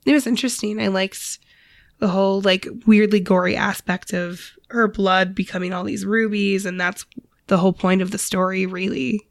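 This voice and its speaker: A woman co-host talks casually about stories. Her voice has a good deal of vocal fry, and the sound is dry and close. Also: mid-range voice, steady tone, normal speed, no echo. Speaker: podcast co-host. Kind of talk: casual chat about folk tales.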